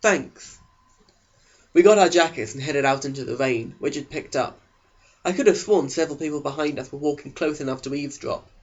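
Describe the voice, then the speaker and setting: A man's voice: fairly high pitch, fast, darkish tone, dull audio. A man narrating a mock audiobook with a sarcastic, exaggerated delivery.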